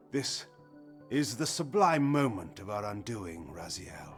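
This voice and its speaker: A cynical, imperious vampire lord in a gothic video game. He speaks at a medium pitch in measured, grandiloquent, archaic rhetoric, cunning and fatalistic. Music is clearly heard behind him. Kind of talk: theatrical scripted video game dialogue.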